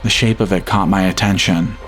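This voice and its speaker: Guy with a lowish voice telling a scary story, solemn and measured, soft music underneath. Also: steady tone. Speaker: horror podcast narrator. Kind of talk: scripted first-person horror story narration. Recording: music bed behind the voice. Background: atmospheric music.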